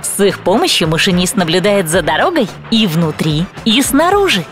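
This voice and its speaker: A woman's voice: medium pitch, very animated, normal speed, lightly acted. A woman voicing a kindly, patient grown-up guide in an educational cartoon for small children, encouraging and instructive. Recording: music behind the voice.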